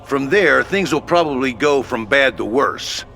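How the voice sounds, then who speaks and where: A man's voice: medium pitch, animated, unhurried, gravelly, sharp tone, exaggerated voice. A man playing a brash, plain-spoken HQ officer in a video game, briefing in a blunt, cocky, colloquial way. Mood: blunt, urgent. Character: brash, plain-spoken male military HQ officer, gung-ho and slangy. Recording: background music under the voice.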